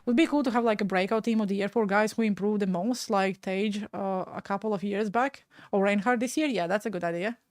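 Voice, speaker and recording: A woman's voice: medium pitch, a little fast, few pauses, a little mellow, no room sound, clean studio sound. A young woman in her twenties from Latvia, speaking with a Latvian accent, chatting casually and frankly with her live-stream viewers, often trailing off mid-word.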